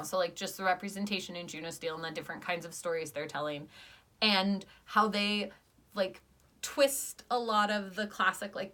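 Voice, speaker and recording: A woman's voice: fairly low pitch, a bit bright. A young woman hosting a casual, enthusiastic podcast review chat full of fillers. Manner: chatty, enthusiastic, many fillers. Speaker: podcast reviewer, channel host.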